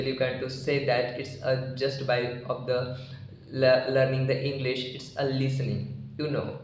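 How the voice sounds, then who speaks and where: A young man in his twenties from India, a native Marathi speaker with an Indian accent, giving earnest advice to the camera with loose grammar and many "you know" fillers. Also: mid-range voice. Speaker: English-learning YouTuber. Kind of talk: talking-to-camera advice vlog. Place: small indoor room, plain wall. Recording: very echoey room, faint hiss.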